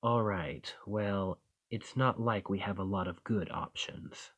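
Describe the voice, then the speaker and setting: A man's voice: on the low side, takes it slowly, fairly bright tone. A man playing a roguish bandit leader in an audio roleplay, confident and wry, with a folksy, teasing, drawling manner. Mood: scheming, persuasive. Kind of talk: scripted roleplay acting addressed to the listener.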